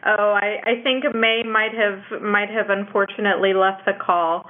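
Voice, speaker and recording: A woman's voice: mid-range voice, little pitch movement, moderate pace. Woman moderating over a tinny phone line. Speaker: moderator of a conference call. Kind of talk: moderating a networking conference call. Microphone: telephone call.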